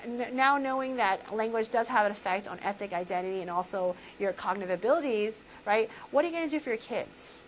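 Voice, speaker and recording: A woman's voice: fast talker, hardly any pauses, slight background noise, poor audio. A woman professor giving a recorded lecture, asking students questions and tagging lines with "right?". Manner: animated, full of questions to students and "right?" tags; many fillers and restarts, swinging pitch. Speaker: university professor.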